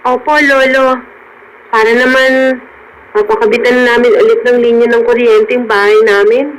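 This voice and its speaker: A woman's voice: fairly high pitch, level tone, normal speed, close to an everyday voice, poor audio. A woman playing a polite young granddaughter in a radio drama, respectful, with a Filipino accent. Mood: polite, hopeful. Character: polite young grandchild seeking sales lady job.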